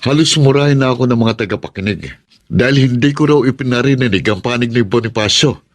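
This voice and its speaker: A man playing an affectionate but easily irritated husband, conversational and expressive, in radio drama style. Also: medium pitch, fairly quick, close to an everyday voice. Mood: indignant. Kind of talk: naturalistic radio drama acting.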